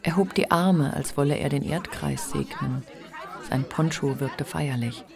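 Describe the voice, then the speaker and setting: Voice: mid-range voice, huge pitch swings, a little slow, music in the background, crowd noise. A narrator reading literary prose in a scripted radio drama.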